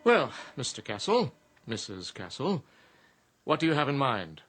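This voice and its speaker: A man's voice: mid-range voice, big pitch swings, a little slow. A man acting in a radio drama as an urbane, polite genie who talks in formal, precise sales patter. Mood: unruffled. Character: urbane genie in a business suit, polite and matter-of-fact.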